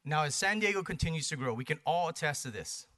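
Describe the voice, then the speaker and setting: A man's voice: fairly high pitch, lively intonation, quick pace, sharp tone. A man from Hawaii with an American accent giving a persuasive public presentation, emphatic, in short punchy phrases and rhetorical questions. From Hawaii, United States. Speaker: presenter for a paddling community. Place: planning commission meeting.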